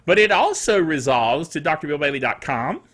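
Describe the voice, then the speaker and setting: Animated, high-pitched middle-aged man with dry throat. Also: normal speed. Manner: playful, quirky, theatrical asides. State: dry, slightly rough throat. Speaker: host of a tech news show. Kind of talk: chatty solo tech news commentary.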